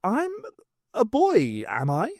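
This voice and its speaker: A man's voice: high voice, huge pitch swings, takes it slowly, darkish tone, no room sound, studio quality, voice pushed up, exaggerated voice. A man voicing a wry, sceptical and bemused woman adventurer in an audiobook, with a questioning manner. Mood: incredulous.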